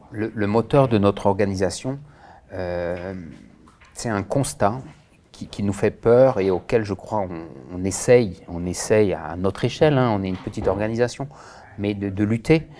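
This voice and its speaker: A man's voice: lowish voice, moderate pace, mellow. A man born in France and raised in Senegal, answering interview questions earnestly, with many hesitations and restarts. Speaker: director of a Senegalese association.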